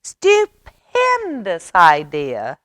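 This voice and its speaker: A woman's voice: lowish voice, wildly swooping pitch, drawn-out words, fairly bright tone, no echo, studio quality, exaggerated voice. A woman voicing a whimsical female librarian in a storybook, delighted and grandly exclamatory. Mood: grandly excited.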